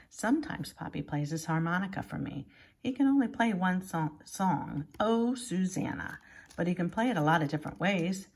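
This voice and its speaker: A woman's voice: on the low side, creaky, darkish tone, husky. A woman reading a children's picture book aloud like a schoolteacher, expressively and with exaggerated emphasis.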